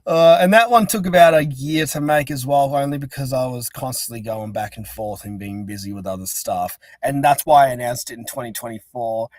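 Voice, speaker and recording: A man's voice: mid-range voice, a little fast, few pauses, no echo. A man chatting casually as an interview guest, talking in a rambling, chatty way. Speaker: interview guest, fan-dub creator. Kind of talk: casual chat.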